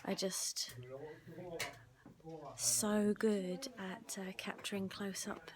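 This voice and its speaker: Female vlogger for casual behind-the-scenes narration; gentle, breathy delivery that often sinks into whispering, mid-range pitch. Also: level tone, extremely slow, a crowd in the background. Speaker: ASMR vlogger and host.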